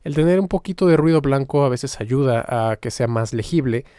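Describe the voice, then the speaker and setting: A man giving an informal step-by-step software tutorial as the instructor, in a halting, explanatory manner. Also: mid-range voice, animated, clean tone, dry recording, clean studio sound.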